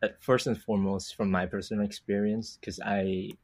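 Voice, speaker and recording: A man's voice: on the low side, flat delivery, moderate pace. A young man from Vietnam, a guest on a podcast, talking thoughtfully and unhurriedly about his work, with many filler words. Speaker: podcast guest, comic artist. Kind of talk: casual interview talk.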